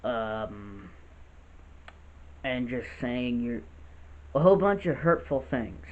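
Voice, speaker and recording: Gravelly young man, tinny phone-like sound. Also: medium pitch, lively intonation, takes it slowly. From United States. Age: 20s. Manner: earnest, rambling with restarts. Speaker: vlogger. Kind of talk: unscripted serious vlog. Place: house with poor lighting.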